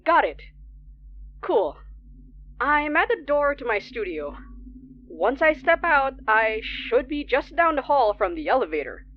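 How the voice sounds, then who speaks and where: A high, swooping voice as a terrified radio DJ trapped alone at night. The delivery is slow and polite, edged with panic, in a horror podcast. The sound is muffled, with noise behind it. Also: female voice, sing-song. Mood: tense, sarcastic. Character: frightened young non-binary late-night radio DJ, trapped alone. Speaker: radio host. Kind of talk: horror audio drama acting.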